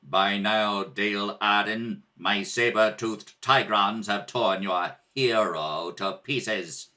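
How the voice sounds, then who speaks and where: A man's voice: fairly low pitch, slow pace, bright, forceful tone, pitched below the performer's own voice. A man playing a cruel, imperious space emperor in the manner of an old radio serial, menacing and commanding. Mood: gloating. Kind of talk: 1930s radio serial acting.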